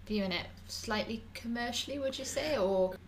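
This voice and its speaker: A woman's voice: on the high side, normal speed, slight background noise. A woman interviewing a guest, asking questions and giving brief encouraging affirmations.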